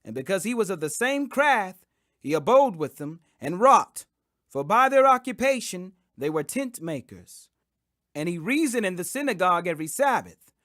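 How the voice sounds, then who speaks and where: A man's voice: high-pitched, a bit bright, dry recording, studio quality. A man reading scripture as scripted audiobook narration, in an emphatic, dramatic manner. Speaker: scripture reader. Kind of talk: scripted audiobook narration of King James Bible.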